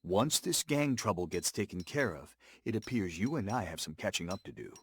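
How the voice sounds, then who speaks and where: An actor playing an earnest, principled Lakota man in a video game, with a measured, firm and serious manner. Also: low-pitched, big pitch swings, husky, dry recording, heavily acted. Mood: dry, firm. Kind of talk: scripted game cutscene acting and narration.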